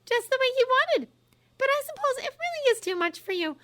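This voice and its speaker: Woman doing a sharp clever young witch, high and cold, bright tone. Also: very animated, a little fast, heavily acted. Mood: contemptuous. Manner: cool, relentless, crisp.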